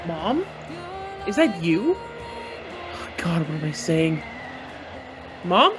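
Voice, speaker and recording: Man playing an entranced, yearning son, animated pitch. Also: takes it slowly, over music. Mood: bewildered. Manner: hesitant, dreamy, questioning. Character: dazed, yearning son entranced by his mother's apparition. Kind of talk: naturalistic radio play acting.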